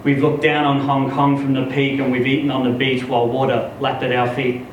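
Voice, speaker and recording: A man reads literary fiction to a live event with expressive, dramatic storytelling. His mid-pitched voice comes from a distance in a very echoey room, with clear background noise. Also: brisk. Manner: expressive storytelling, acts out dialogue. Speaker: story reader.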